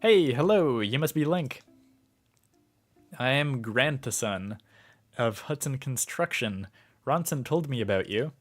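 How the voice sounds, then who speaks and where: A man's voice: mid-range voice, lightly acted. A man reading out a cheery construction salesman. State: losing his voice from illness. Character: friendly male construction salesman.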